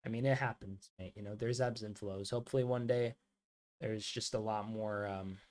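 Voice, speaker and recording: A man's voice: on the low side, steady tone, normal speed, a little mellow. A man from the States, hosting a live football watchalong and chatting loosely with many fillers. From United States. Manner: casual, rambling, many "like" fillers, calls viewers mate and bro. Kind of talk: live match watchalong commentary and chat.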